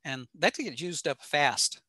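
A man's voice: a little high, lively intonation, a little slow, pushed and bright, husky, dry recording, clean studio sound. A man presenting technical material informally with slides over a video call, in a plain-spoken, matter-of-fact manner. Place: indoor home room, over a video call.

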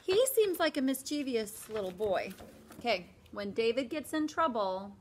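A woman in her forties, a teacher, reading a picture book aloud from indoors and pausing to explain the pages, with a slow, emphatic parent's voice for the scolding lines. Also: a little high, very animated, a little hiss or hum. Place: indoors, small room before a plain wall.

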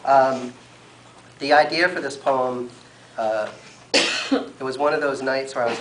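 A man's voice: medium pitch, a bit bright. Man reading poetry in a noisy room. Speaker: poet reading at an event. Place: wood-furnished library room, at a lectern. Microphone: camera a few metres away. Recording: noisy room recording from a camera.

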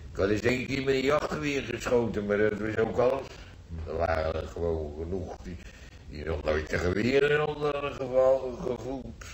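An actor playing a nostalgic, gruff old war veteran grandfather in a radio drama, telling his memories haltingly, repeating himself in earthy colloquial words. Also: on the low side, lightly acted. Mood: gruff reminiscing. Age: older. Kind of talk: radio drama acting, reminiscing monologue. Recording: roomy old radio play, faint noise.

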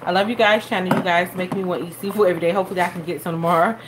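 A woman's voice: lowish voice, steady tone, racing. A young woman hosting a live cooking stream from her home kitchen, chatting while she cooks and thanking viewers by name. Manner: chatty, reads viewer comments and thanks them. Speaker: cooking channel host. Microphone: phone camera held over the stove. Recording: phone audio with background noise.

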